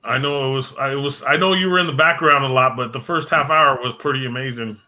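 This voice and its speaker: Bright-voiced host losing his voice. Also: medium pitch, fast talker. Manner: rambling, repeats words, thanks viewers by name. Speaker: livestream host. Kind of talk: casual livestream chat.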